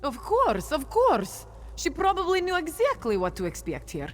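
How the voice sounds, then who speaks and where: A woman's voice: high-pitched, huge pitch swings, normal speed. Fierce young cat-woman warrior in echoing hall. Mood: confident. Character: fierce, hot-tempered young female cat-folk warrior. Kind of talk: video game character acting. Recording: long reverberant hall, clear background noise.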